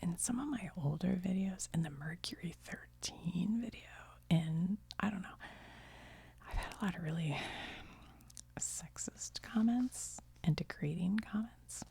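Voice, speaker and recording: Low gravelly whispering woman. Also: a little slow, no echo. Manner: gentle, chatty, laughs softly, trails off. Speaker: video creator. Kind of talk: soft-spoken ASMR whispering.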